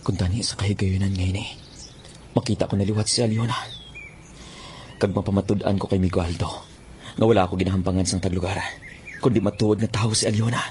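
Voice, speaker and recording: A man's voice: deep voice, fairly even intonation, a little fast, pauses often, darkish tone, slight background noise, close to an everyday voice. An actor playing a lovestruck young man, stammering and exclaiming, in a Filipino-accented radio drama. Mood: musing. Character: lovestruck, flustered young man wandering the forest. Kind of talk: Philippine radio drama acting. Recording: faint music behind the voice.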